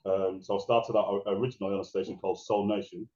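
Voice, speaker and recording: A middle-aged man with a deep, dark, mellow voice tells stories in a loose, rambling way, full of restarts. He sounds like a guest on a remote interview, and his voice rings in an echoey space. The recording sounds dull and lo-fi. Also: level tone, fast. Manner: rambling, restarts and laughs while telling stories. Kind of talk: casual interview conversation.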